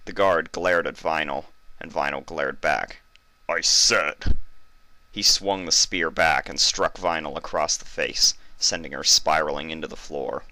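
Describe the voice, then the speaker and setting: A man narrates a story from a script. His voice is extremely deep, yet bright and forceful, and recorded very dry. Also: fairly even intonation, moderate pace, hardly any pauses, no echo.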